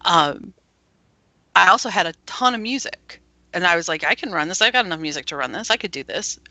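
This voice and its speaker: A woman's voice: lowish voice, lively intonation, fairly quick, bright, forceful tone, no echo. A woman who founded an internet radio station, chatting casually as an interview guest and laughing often while she tells her story.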